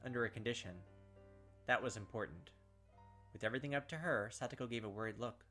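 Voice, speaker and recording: A man's voice: mid-range voice, fast talker, fairly bright tone, soft background music. A young man hosting a gaming video, reading story text aloud with casual, filler-heavy asides.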